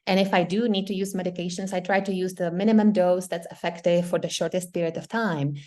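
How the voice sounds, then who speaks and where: Czech accent woman, middle aged, lowish voice, earnest doctor talking in an interview. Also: fairly even intonation, fast, warm tone. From Czech Republic. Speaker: guest doctor. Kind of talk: interview conversation.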